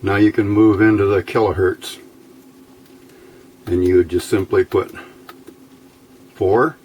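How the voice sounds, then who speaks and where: A man's voice: lowish voice, level tone, slow, a little mellow, a little hiss or hum. A man giving a casual hands-on demonstration as an electronics hobbyist, talking in a folksy, informal, rambling way.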